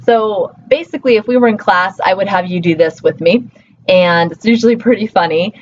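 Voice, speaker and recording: A woman who teaches college, giving a casual recorded lecture, full of "um"s and quick self-corrections. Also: mid-range voice. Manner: casual and chatty, with many fillers and self-corrections. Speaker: college instructor. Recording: slightly muffled, faint hiss.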